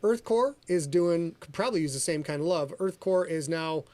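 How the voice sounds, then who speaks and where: A man hosting a casual podcast livestream, talking in an excitable, rambling, self-deprecating way. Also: on the high side, normal speed, a crowd in the background. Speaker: podcast host and author.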